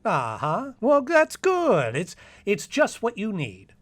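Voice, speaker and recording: Radio drama confidant male actor: dismissive, soothing, patronising man with highly varied intonation. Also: huge pitch swings, takes it slowly, fairly bright tone, heavily acted. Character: dismissive, amused male friend and former rival in love. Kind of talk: old-time radio drama acting.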